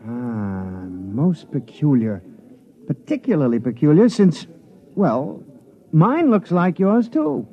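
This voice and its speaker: A man's voice: on the high side, big pitch swings, slow pace. An actor in a 1950s radio drama playing a formal, precise male miner, on an old radio recording. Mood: dry formal. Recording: dull old radio broadcast.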